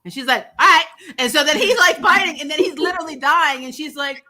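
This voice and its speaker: A woman co-hosting a movie review in animated casual banter, emphatic, often laughing and swearing freely. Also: high-pitched, a little fast. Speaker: co-host of a movie review podcast.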